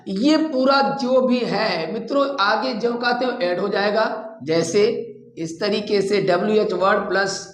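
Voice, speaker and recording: A man teaching a grammar lesson in a didactic, repetitive way, addressing his listeners as friends. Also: high voice. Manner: didactic, repeating key terms and addressing viewers as friends. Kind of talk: classroom-style grammar lesson. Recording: echoey room sound.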